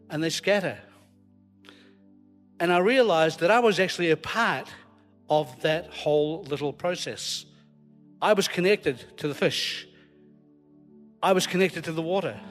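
A man's voice: a little high, measured pace, pauses often, soft background music. A Scottish man preaching informally to a congregation, telling stories in a jokey, self-deprecating way. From Scotland. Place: large hall, live before a congregation. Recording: long hall reverb, live church recording.

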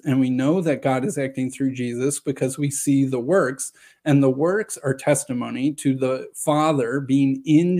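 A slightly hoarse man giving a measured lecture, reading scripture passages aloud and explaining them. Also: mid-range voice, a little mellow. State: slightly hoarse from long talking. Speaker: video presenter on scripture. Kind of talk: lecture-style reading and commentary.

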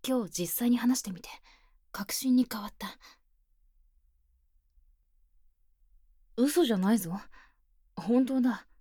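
A woman playing an earnest, bookish high-school club president with stiff, boyish phrasing, speaking softly and close in a Japanese ASMR voice drama. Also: moderate pace, slightly rough, dry recording. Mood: shy, pleading. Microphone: close binaural microphone, dry.

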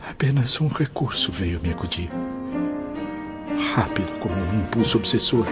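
A man's voice: medium pitch, lightly acted. A man playing a desperate, obsessive jilted lover in an impassioned, theatrical radio drama. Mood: haunted confession. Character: Mário, desperate, obsessive jilted lover confessing murder and suicide. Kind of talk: melodramatic radio drama acting. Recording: dull lo-fi radio drama, music behind.

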